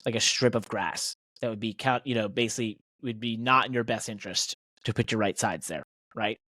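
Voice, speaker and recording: A man's voice: on the low side, brisk, sharp tone, dry recording. American guy on a racing podcast, opinionated, pushes his points, casual, dry close audio. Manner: emphatic, opinionated. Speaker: podcast co-host and professional racing driver.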